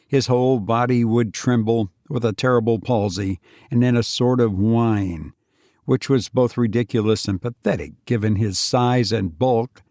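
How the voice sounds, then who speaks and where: A man narrating a story dramatically as an audiobook reader, deliberate and solemn, with weighty pauses. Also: medium pitch, a little mellow, dry recording, clean studio sound.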